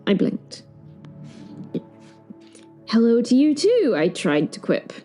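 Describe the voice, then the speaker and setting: Woman as Eleanor Rigby, wry narrator with lively intonation. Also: a little high, takes it slowly, faint music behind, exaggerated voice. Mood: bemused, wry. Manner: wry, understated, digressive. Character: Eleanor Rigby, flustered, dry-witted woman, reluctant caretaker of Hell. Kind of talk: first-person audio drama narration.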